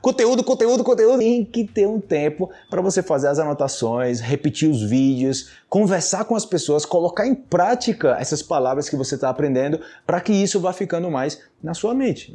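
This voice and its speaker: A man in his thirties with a Brazilian Portuguese accent, teaching vocabulary to camera in a lively, gesturing way, recorded indoors on a small clip-on mic. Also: high-pitched, slightly rough. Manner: animated, gestures constantly, acts out example phrases. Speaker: language teacher. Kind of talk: energetic video lesson to camera. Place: small indoor home studio. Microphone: small mic clipped to shirt.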